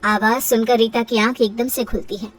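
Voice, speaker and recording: A woman's voice: a little high, steady tone, moderate pace, distant mic, poor audio. A woman reading scripted narration for a moral story, as its storyteller.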